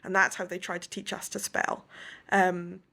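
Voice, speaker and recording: A woman's voice: fairly low pitch, little pitch movement, normal speed, gravelly, sharp tone. A young woman from the west coast of Scotland, an author telling her story as an interview guest, thoughtful and detailed.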